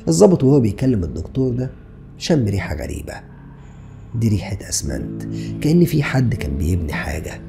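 A man's voice: mid-range voice, big pitch swings, fast, gravelly, over music. A man in his thirties with an Egyptian Arabic accent, narrating a true crime story and asking the listener rhetorical questions. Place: small dark studio indoors. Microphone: podcast mic on stand in front.

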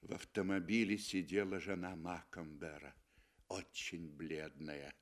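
A man reading literary narration for a 1960s radio play, with expressive, emphatic phrasing. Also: deep voice, measured pace. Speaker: audio drama narrator. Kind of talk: dramatic literary narration, 1960 Soviet radio. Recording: 1960 Soviet radio recording.

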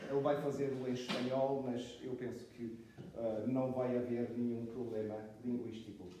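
A man's voice: medium pitch, flat delivery, normal speed, very echoey, background noise. A man introducing a guest speaker at a lecture, hesitant with many fillers, recorded from far off in a small room. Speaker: event host introducing the speaker. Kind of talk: spontaneous introduction. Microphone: far camera.

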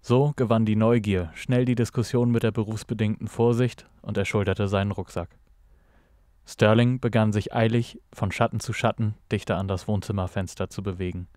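A man narrating a scripted noir audio drama in a measured, dramatic storytelling manner. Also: fairly low pitch, steady tone, a little mellow, no echo, studio quality.

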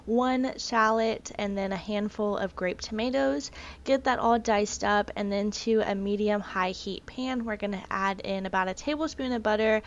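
A woman's voice: mid-range voice, normal speed. Casual woman narrating a recipe, lo-fi. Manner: casual, self-deprecating. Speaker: cooking vlogger.

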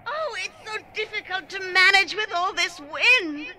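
A woman's voice: extremely high pitch, animated, measured pace, over a noisy crowd. A woman playing a flustered, chatty, scatterbrained old supernatural lady in an audio drama, fussy and fluttery.

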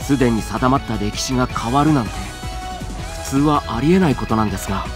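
A man's voice: mid-range voice, fast talker, lightly acted. A man playing a polite, earnest young warrior in a Japanese anime game, formal and respectful, easily flustered. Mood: earnest, puzzled. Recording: music behind the voice.

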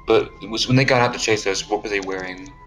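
A man's voice: lowish voice, fast talker, rough recording. A man playing a tabletop game, chatting casually in a playful, questioning way. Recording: music behind the voice. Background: background music.

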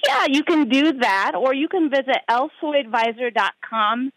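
A woman agronomist talks through a crop testing program in an interview. Her voice sits fairly high and the sound is dry and close. She halts often with fillers as she explains. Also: lively intonation, moderate pace, fairly bright tone, no echo, low-quality recording. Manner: hesitant, many fillers. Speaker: outreach agronomist guest. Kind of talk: interview by phone. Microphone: phone line.

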